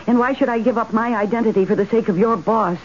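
A headstrong, dreamy married woman with a high voice, played by a radio actress. She speaks in old-time radio drama style. The recording is muffled, with hiss over it. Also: fairly even intonation, fast, close to an everyday voice. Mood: defiant, cool. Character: defiant, dreamy wife, married fifteen years.

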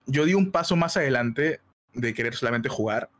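A young man in his early twenties speaks with a Peruvian accent that has picked up some Mexican flavor. He talks in a relaxed, slangy way and laughs easily, like a guest on a casual stream chat. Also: medium pitch, normal speed. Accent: Peruvian Spanish with Mexican touches. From Peru. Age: 20s. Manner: laid-back, laughs often, uses slang. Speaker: guest, illustrator.

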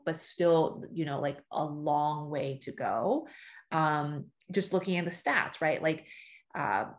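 A woman startup founder talking casually as an interview guest, with frequent "you know" and "right?" fillers. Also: sits low.

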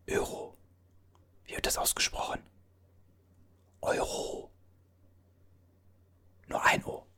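A performer whispering as a bewildered time traveler from an older era, puzzling over strange objects in a halting voice full of restarts. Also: a bit bright. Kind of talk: whispered ASMR roleplay.

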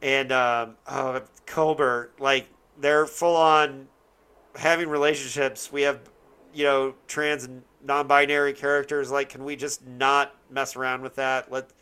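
A man's voice: mid-range voice, sharp tone, smooth. A man hosting a casual discussion, rambling and correcting himself as he searches for words. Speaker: podcast host. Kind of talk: casual chat, TV show discussion.

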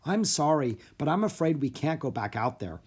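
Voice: medium pitch, big pitch swings, normal speed, a little mellow, slightly rough. A narrator voicing an earnest, practical young boy magician in a children's audiobook. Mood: worried.

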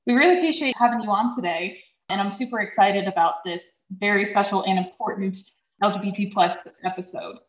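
A woman's voice: mid-range voice, fairly quick, muffled recording. Echoey, polite woman hosting a podcast. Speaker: podcast host and interviewer. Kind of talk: scripted podcast introduction and interview questions.

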